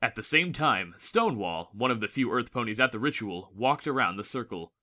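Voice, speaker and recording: Bright, forceful male story narrator. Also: mid-range voice, expressive, brisk. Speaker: audiobook narrator. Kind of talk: dramatic scripted story narration.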